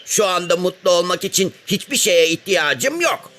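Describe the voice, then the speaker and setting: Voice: medium pitch, animated, moderate pace, music in the background, natural voice. A performer voicing a sulky but earnest cartoon frog who is learning to be happy, answering simply. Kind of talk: children's cartoon dialogue.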